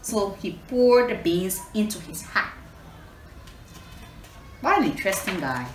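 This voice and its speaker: A woman reading a children's storybook aloud expressively, pausing to explain and put questions to her young listeners. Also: mid-range voice, animated. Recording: echoey room, distant mic, faint noise.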